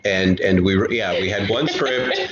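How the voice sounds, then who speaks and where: A man with a fairly low voice chats as a podcast guest. He is talkative and keeps rewording his thoughts. The recording is roomy, slightly muffled and faintly noisy. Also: normal speed. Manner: talkative, repeats and rephrases himself. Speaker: podcast guest, audio drama creator. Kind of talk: casual conversational interview. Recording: roomy, dull lo-fi, faint noise.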